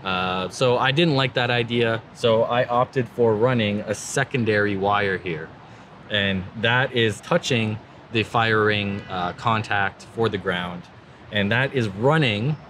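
Guy in his 30s, lowish voice, chill slangy how-to vlog talk, bit of traffic-y background noise. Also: fairly bright tone. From Canada. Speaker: vlogger presenting a vehicle install. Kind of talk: casual hands-on tutorial talk to camera. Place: in a vehicle and outdoors under an overpass.

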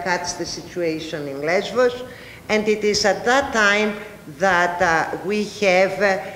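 A middle-aged woman with a Greek accent, a native Greek speaker, giving an academic panel presentation. She speaks haltingly, with frequent filler pauses. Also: medium pitch, a bit bright, a little hiss or hum. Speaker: university lecturer presenting research. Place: large ballroom, panel table. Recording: echoey hall with long reverb.

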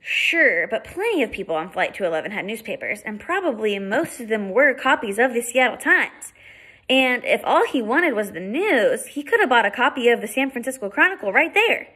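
A woman reading aloud and voicing a calm schoolboy who explains things in a reasonable tone. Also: on the high side, moderate pace, breathy edge, exaggerated voice. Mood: reasoning.

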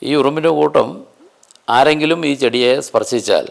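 A man presents an unscripted nature explanation in deliberate, halting phrases with emphatic stresses. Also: medium pitch, creaky.

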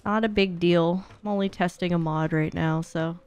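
A woman's voice: on the low side, fairly even intonation, normal speed, darkish tone, smooth. A young adult woman giving casual, blunt, sweary live-stream commentary while speedrunning a game. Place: small indoor streaming room at home.

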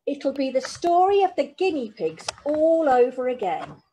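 Bossy fond old granny, woman reading it, slow and sing-songy. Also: high-pitched, big pitch swings, dark tone, a little hiss or hum, heavily acted, poor audio. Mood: fussing. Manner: brisk, bustling, fussing. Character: bossy fussing grandmother, fond and brisk.

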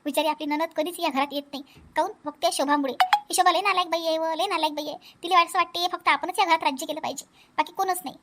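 A woman's voice: very high voice, steady tone, fast talker, fairly bright tone. A woman voicing an outspoken, protective elder sister-in-law in a family cartoon, emphatic and repetitive, with a Vidarbha Marathi accent. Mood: earnest advice. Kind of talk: animated family comedy acting.

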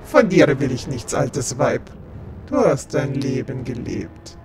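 A man's voice: mid-range voice, big pitch swings, takes it slowly, over loud music. A man playing a menacing, imperious godlike being with a commanding, contemptuous manner in a fantasy audio drama.

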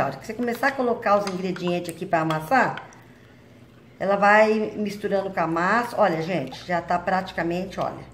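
A woman's voice: medium pitch, a little hiss or hum. Woman, home cook host, casual explaining tone, roomy kitchen sound with faint clatter. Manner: chatty, explains each step, adds "tá?". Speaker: cooking channel host. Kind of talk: home cooking demonstration. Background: clinking utensils and bowls.

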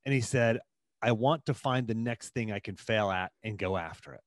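A man in his forties, a performance coach, answering interview questions in an earnest, animated way from a home office, talking into a studio mic. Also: lowish voice, moderate pace, no room sound. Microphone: studio mic in front of him.

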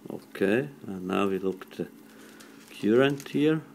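A man with a low voice talks slowly through a hands-on electronics job. He is not a native English speaker and proceeds haltingly, in short phrases, like someone explaining while working at a bench. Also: lively intonation, gravelly, a little mellow, slight background noise. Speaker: electronics hobbyist demonstrating a radio modification. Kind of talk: hands-on technical tutorial, improvised. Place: indoors at a workbench.

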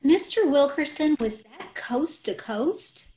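Daffy wife, female actor, guileless and scatterbrained, slow, lilting, animated radio comedy delivery. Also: high-pitched, slight background noise. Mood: innocent, breezy. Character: scatterbrained, guileless wife who misunderstands everything. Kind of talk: old-time radio comedy acting. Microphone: video call.

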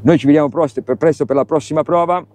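A man's voice: a little high, quick pace, a little mellow. A middle-aged man presenting a boat test at sea, talking casually and enthusiastically straight to the viewers. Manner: chatty, enthusiastic, addresses viewers directly. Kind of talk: casual on-board boat review. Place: open motorboat at sea, outdoors.

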